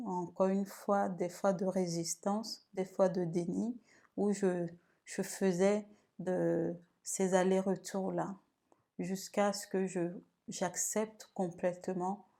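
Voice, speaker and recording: Slow, even-toned woman. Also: lowish voice, creaky. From Togo. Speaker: video host and women's wellbeing guide. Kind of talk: advice vlog talk.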